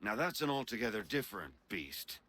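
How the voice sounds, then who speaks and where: A genial patriot adviser in a video game. The voice is low, forceful and husky, with lively pitch and articulate, courteous phrasing. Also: male voice, a little fast, heavily acted. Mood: confiding, brisk. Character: genial, shrewd male patriot statesman advising a friend. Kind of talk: dramatic video game acting.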